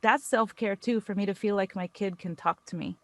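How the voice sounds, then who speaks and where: An American woman speaking as a podcast guest in a casual, earnest and expressive conversational style. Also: medium pitch, brisk, no echo. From United States.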